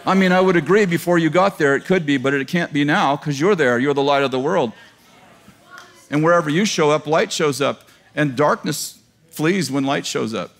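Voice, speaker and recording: A man's voice: fairly high pitch, moderate pace. A man in his fifties preaching a sermon in a large church auditorium to a live congregation, talking in a casual joking way and laughing at his own lines. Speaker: pastor. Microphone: headset mic.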